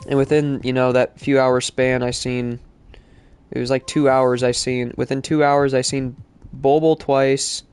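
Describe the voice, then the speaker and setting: A man's voice: mid-range voice, level tone, measured pace, darkish tone, clean tone. A male streamer chatting casually while playing, sounding congested from allergies and rambling with lots of fillers. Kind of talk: live-stream gaming chat.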